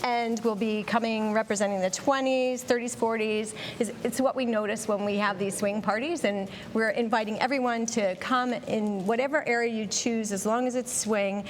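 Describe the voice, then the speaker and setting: A woman's voice: fairly high pitch, fairly even intonation, normal speed, hardly any pauses, long reverb tail, a little hiss or hum. A woman guest explaining event details in a conversational interview as an organiser.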